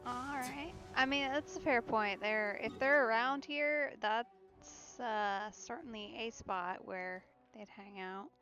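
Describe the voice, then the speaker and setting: A woman's voice: on the high side, slow pace, bright, forceful tone, faint music behind. A woman giving casual let's play commentary as she streams a game.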